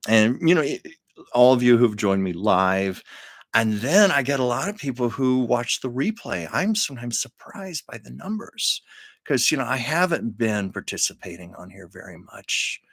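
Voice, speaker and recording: A man's voice: lowish voice, a bit bright, no room sound, clean studio sound. A man hosting his own livestream from home, chatting casually with viewers and laughing often. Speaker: livestream host. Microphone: camera on a livestream setup.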